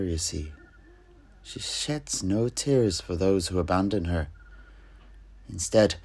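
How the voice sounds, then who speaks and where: A man playing a wistful alchemist and truth-sayer, recounting his homeland in measured, dramatic, poetic fantasy storytelling. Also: low-pitched, vocal fry, breathy edge, full character voice.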